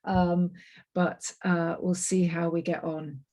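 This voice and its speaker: A woman with a fairly low voice presents over a video call, speaking through her computer mic. Her voice is a little croaky and worn from travel, and she talks in a chatty way, filling pauses with ums and restarting her sentences. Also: flat delivery, dark tone, slight background noise. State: slightly croaky and tired. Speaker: climate project manager giving a webinar talk. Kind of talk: online presentation, loosely scripted.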